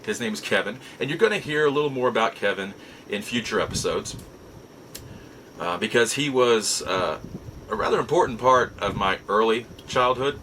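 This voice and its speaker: A man telling a personal story in a casual, halting way, as host of a nostalgia show. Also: fairly low pitch, lively intonation, bright, forceful tone, a little hiss or hum, poor audio. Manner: halting, repeats and restarts words as he goes.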